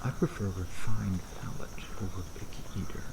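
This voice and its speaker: Man acting low-voiced teasing vampire, night crickets behind. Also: normal speed, heavy background noise. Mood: playful, wry. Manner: teasing, sardonic banter. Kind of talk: intimate ASMR audio roleplay.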